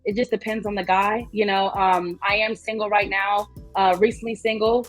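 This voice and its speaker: A woman's voice: medium pitch, flat delivery, moderate pace, faint music behind. A young woman from Georgia, a podcast guest talking bluntly and repeating her points for emphasis. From Georgia, USA. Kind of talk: casual podcast chat.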